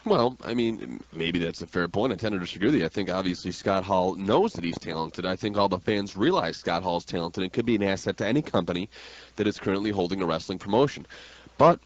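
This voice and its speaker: Fast-talking low-voiced man hosting sports talk radio. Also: lively intonation. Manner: informal, frequent "man" and "dude". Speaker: radio show host. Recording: dull, lo-fi radio recording.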